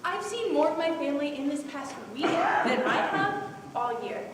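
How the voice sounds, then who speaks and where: A woman's voice: high-pitched, lively intonation, normal speed. A woman playing an upbeat, reflective family woman in a stage monologue. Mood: content, reflective. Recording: echoey hall from far off, noisy.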